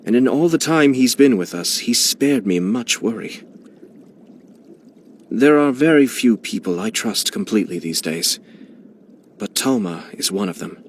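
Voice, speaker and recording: A man's voice: fairly low pitch, lively intonation, measured pace, pauses often, slight background noise. An actor playing a composed young nobleman who heads his clan, speaking in a polished, courteous way with dry amusement. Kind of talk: video game character voicelines.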